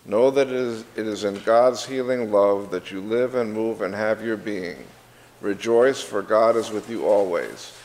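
A middle-aged man preaching a sermon from a church pulpit microphone, his voice rising in pitch and force as he builds. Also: unhurried, a little mellow, slight background noise. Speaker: pastor. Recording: reverberant church hall.

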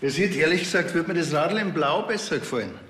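A man's voice: fairly high pitch, animated, quick pace, fairly bright tone, slight background noise. An actor playing a dry, impatient policeman with a Bavarian accent in a TV crime comedy. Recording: roomy sound with music.

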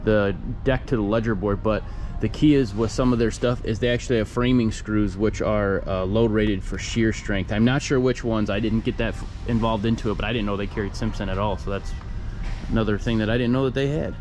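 A man's voice: fairly low pitch, steady tone, brisk, a little mellow, a little hiss or hum. A man in his thirties walking the aisles of a big hardware store, chatting casually and enthusiastically to a handheld camera as he points out products. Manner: chatty, enthusiastic, loose with fillers and restarts. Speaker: handyman vlogger. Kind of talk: casual walk-through product vlog. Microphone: handheld camera at arm's length.

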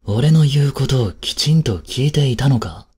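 An actor playing a cold, haughty young prince in an audio drama, with a husky, breathy voice and a curt, imperious manner. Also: male voice, medium pitch, expressive, normal speed, darkish tone. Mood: stern, scolding. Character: cold, haughty young prince scolding and fretting over his maid. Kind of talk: Japanese audio drama acting.

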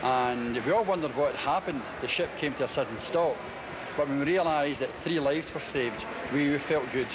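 A man's voice: fairly high pitch, normal speed. A man in his fifties from Britain, a cruise passenger, speaking enthusiastically at a terminal. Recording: noisy terminal interview.

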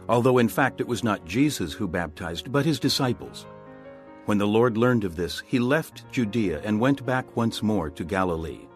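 Low-pitched male scripture narrator. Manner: measured storytelling.

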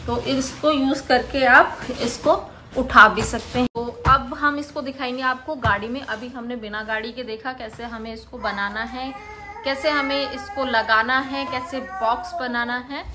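A woman with a fairly high voice walks through a product demo in a relaxed, chatty way, explaining each step as she goes. Steady noise from the car and street sits behind her. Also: moderate pace. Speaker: vlogger reviewing a car bed. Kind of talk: casual product demo vlog. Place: inside a car.

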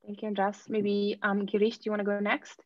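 Woman moderator on a dry, dull-sounding video call, polite, halting. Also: mid-range voice, monotone, no echo. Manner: polite, slightly halting, with fillers. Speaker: assistant program director, moderator. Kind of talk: moderating a panel.

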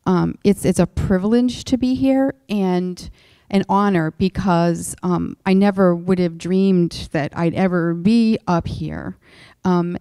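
A woman with a Massachusetts accent speaks at a lecture panel table. Her voice is mellow and unhurried in tone, broken by frequent ums and restarts. The sound is dry and close. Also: on the low side, clean tone, no room sound. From Worcester, Massachusetts. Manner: halting, many ums and restarts. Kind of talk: personal account to a lecture audience.

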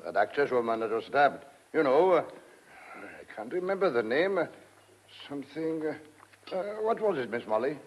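A man's voice: medium pitch, sing-song, normal speed, breathy edge. A man acting in a radio drama as a nosy, folksy farmhand with a blunt, rustic, droll way of talking. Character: nosy, folksy old farmhand, cheerfully philosophical. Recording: dull lo-fi radio drama recording.

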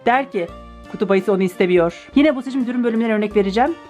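A woman's voice: on the high side, very fast, warm tone, soft background music. A woman chatting casually to viewers as she hosts a toy unboxing video, addressing them as friends. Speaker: toy unboxing video host, a mother with her child. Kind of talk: casual chat to viewers while unboxing toys.

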